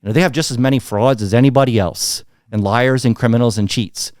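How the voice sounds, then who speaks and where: A middle-aged American man telling candid business stories as an interview guest, blunt and animated, acting out what others said. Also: mid-range voice, fast talker, clear, resonant tone, dry recording, studio quality. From United States. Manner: blunt, animated, acts out quoted dialogue.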